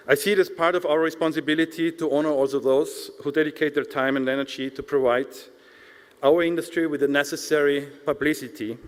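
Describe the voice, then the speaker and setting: A man from Austria delivering a formal, prepared address as director of an industry association at an awards event. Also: fairly high pitch. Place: large indoor event hall, speaking to an audience. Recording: echoey hall, long reverb.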